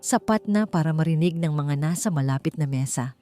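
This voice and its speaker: A woman's voice: sits low, a little fast, mellow. A woman with a Filipino accent reading a dramatic scripted story as an audiobook narrator. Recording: music bed under the voice. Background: background music.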